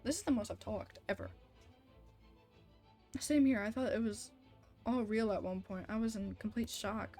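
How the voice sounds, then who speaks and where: A woman game streamer talking casually over a live playthrough. Her voice sits at an average pitch for a woman, and she drops in offhand asides. Faint game music runs behind her. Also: moderate pace, pauses often, dark tone. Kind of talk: live stream, reading game dialogue aloud with chatty asides.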